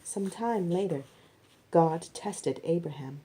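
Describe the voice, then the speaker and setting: A woman reading scripted narration as expressive Bible storytelling. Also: on the low side, takes it slowly.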